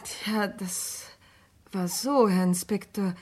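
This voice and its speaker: Composed female secretary. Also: medium pitch. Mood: explaining. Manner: formal, careful, deliberate. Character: composed, precise female secretary answering police. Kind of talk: German radio crime play acting.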